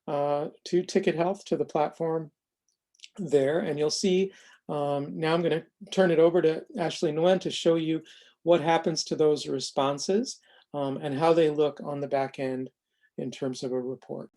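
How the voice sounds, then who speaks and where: Halting, slightly higher-voiced man presenting. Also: steady tone, warm tone. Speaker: presenter of a software demo. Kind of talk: informal online demo presentation.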